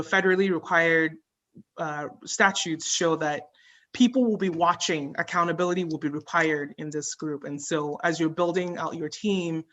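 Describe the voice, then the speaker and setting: A woman on an advisory committee giving earnest, measured remarks at a public meeting, pausing often on "um". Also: deep voice, a bit bright. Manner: earnest, measured, frequent ums.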